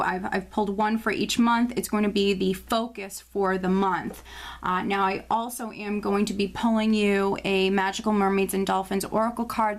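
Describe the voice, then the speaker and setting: A woman speaks to her viewers as she lays out and reads tarot cards. Her voice is slightly hoarse and worn. Her delivery is loose and chatty, full of little fillers and repeated words. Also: mid-range voice. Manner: chatty, many fillers and restarts, intuitive readings. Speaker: tarot reader. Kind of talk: casual tarot forecast video.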